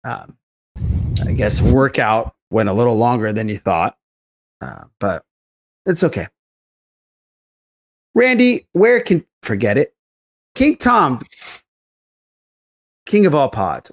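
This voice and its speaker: A man hosts a relaxed group podcast with a medium-pitched, animated voice. He talks informally and rambles in a jokey, conversational way. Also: takes it slowly, pauses often. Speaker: podcast host. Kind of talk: casual pop culture chat.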